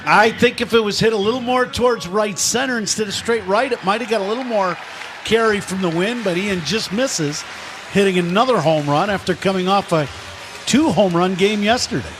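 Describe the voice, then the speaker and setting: A man's voice: sits high, normal speed. A man giving conversational analysis as color commentator on a live baseball radio broadcast. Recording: noisy ballpark broadcast.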